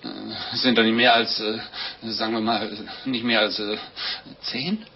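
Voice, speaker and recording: A man's voice: on the low side, flat delivery, unhurried, slightly rough. An actor in a 1950s German radio drama playing a naive, horrified priest, hesitant and exclaiming as he hears a confession. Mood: aghast, faltering. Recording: dull, noisy old radio recording.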